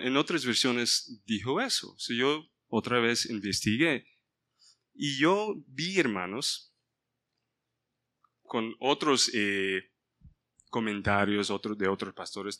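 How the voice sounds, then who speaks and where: Bright-voiced man leading a Bible study. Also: mid-range voice. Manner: mixes in English words, stops to ask the group for help. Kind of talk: informal Bible study sermon.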